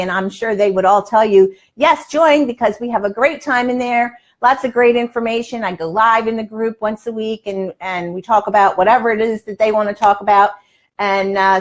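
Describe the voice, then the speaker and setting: A woman in her sixties hosting a casual livestream from her kitchen, chatty and folksy, given to exclaiming. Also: a little high. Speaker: live cooking show host. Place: home kitchen, indoors. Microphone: lapel mic.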